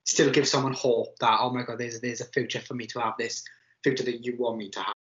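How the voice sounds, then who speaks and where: A man's voice: medium pitch, level tone, brisk, fairly bright tone. Interviewee, man, 20s, casual storyteller, dull lo-fi sound. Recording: muffled lo-fi sound.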